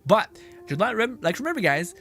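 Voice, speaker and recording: A man streaming a game, with a fairly high, bright and forceful voice. He talks casually and animatedly, swearing freely with mock indignation over game music. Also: sing-song, brisk. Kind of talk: casual live commentary on a game, reading chat.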